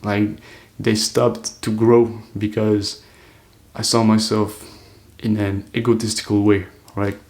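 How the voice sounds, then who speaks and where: A man's voice: on the low side, flat delivery, slow, a little mellow. An eighteen-year-old man talking to camera in an earnest, loose, reflective monologue, full of "like" fillers. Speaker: self-improvement vlogger.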